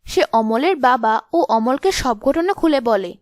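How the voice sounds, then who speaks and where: A woman's voice: high-pitched, animated, measured pace, darkish tone, no echo, studio quality. A woman narrating a scripted fairy tale as a storyteller.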